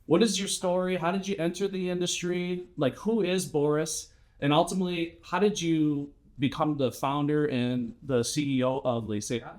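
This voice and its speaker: A man's voice: fairly high pitch, fairly even intonation, normal speed, darkish tone. A man hosting an interview podcast, talking in an upbeat, effusive way and heaping praise on his guest. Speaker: podcast host. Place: studio in Madison, Wisconsin.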